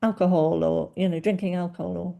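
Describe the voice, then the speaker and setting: Woman doctor host, low-ish mellow voice with some fry, attentive interviewer. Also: steady tone, fast talker. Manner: warm, attentive questioning with frequent backchannels. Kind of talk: podcast interview.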